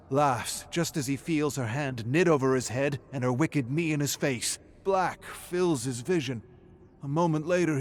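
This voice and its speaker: A man's voice: medium pitch, a little hiss or hum. A man reading scripted narration in a theatrical, tense storytelling manner.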